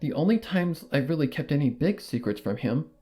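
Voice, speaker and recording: A man narrating a story in the first person in a steady, measured storytelling manner. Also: on the high side, breathy edge, faint music behind.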